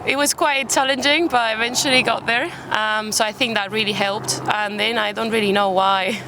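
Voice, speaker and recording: A woman's voice: a little high, fairly even intonation, moderate pace. A young Spanish woman speaks English with a British accent, in a casual interview style. She is recorded outdoors in a park, with faint city noise behind her. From Málaga, Spain. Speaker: podcast guest. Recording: outdoor urban background noise. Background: faint park and traffic ambience.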